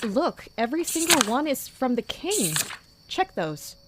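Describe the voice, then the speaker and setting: A woman playing a composed, wry captain of mercenaries, narrating and talking in a measured, matter-of-fact way in an audio drama. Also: high voice, big pitch swings, heavy background noise. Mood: grim, focused. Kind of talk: first-person narration and dialogue in an audio drama.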